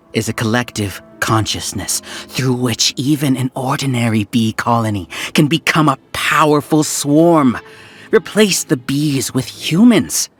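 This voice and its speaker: An actor playing a smug male scholar who runs a hive mind, talking in a calm, condescending lecture. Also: medium pitch, close to an everyday voice. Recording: faint game music behind.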